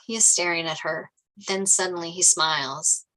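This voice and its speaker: A woman's voice: on the low side, fairly even intonation, normal speed, sharp tone, rough recording. A woman reading screenplay stage directions aloud as scripted narration.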